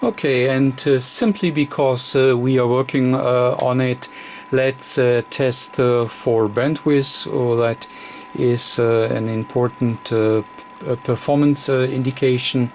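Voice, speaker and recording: A man giving an unscripted technical demonstration as a radio technician, speaking in a halting, fragmented way with very frequent hesitations. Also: medium pitch, fairly even intonation, darkish tone. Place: indoor electronics test bench. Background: radio receiver noise.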